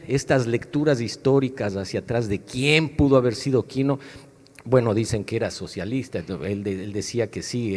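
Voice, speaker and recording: A man at a book fair panel talks in a reflective, measured way, building up his questions. His voice sits at a middle pitch. The sound carries the long reverb of a large hall. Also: a little mellow. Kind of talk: panel discussion.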